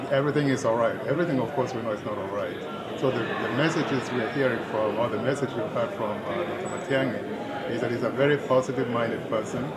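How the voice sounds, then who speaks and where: A man's voice: medium pitch, very noisy. A man with a Kenyan accent, interviewed at a town hall, speaking earnestly and persuasively with frequent restarts. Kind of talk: street interview, political commentary. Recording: loud crowd behind.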